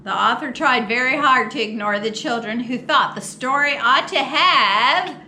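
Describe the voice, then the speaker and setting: Casting: female children's storytime reader, high-pitched and unhurried, theatrical delivery, faint noise behind. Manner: expressive, theatrical, with mock exasperation and drawn-out words. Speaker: storytime host at a public library. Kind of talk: animated read-aloud of a children's picture book.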